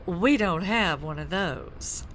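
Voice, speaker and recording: An actress playing a sweetly agreeable president's wife in a comedic audio drama. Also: female voice, on the low side, animated, slow, a bit bright, a little hiss or hum.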